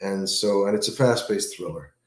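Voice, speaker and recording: A man's voice: lowish voice, normal speed. A man in his sixties, an author guest chatting easily over a video call, with plenty of fillers and repeated words. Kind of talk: casual interview chat. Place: home room with plant, indoors. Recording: roomy video call audio.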